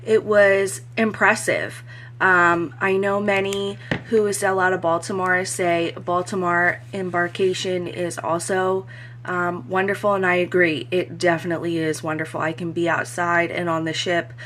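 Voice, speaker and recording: A woman talking casually as a cruise vlogger, her voice a bit hoarse from crying the day before, with lots of "um" fillers. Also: lowish voice, level tone, unhurried, a bit bright, a little hiss or hum. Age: adult. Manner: chatty, frequent "um" fillers. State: slightly hoarse voice after crying. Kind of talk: casual review vlog.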